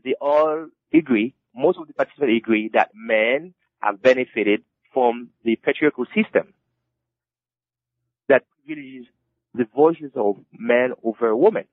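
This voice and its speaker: A man, an author being interviewed, who answers haltingly and restarts his phrases again and again. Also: medium pitch, dry recording. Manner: halting, with many repeated restarts. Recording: dull lo-fi dry recording.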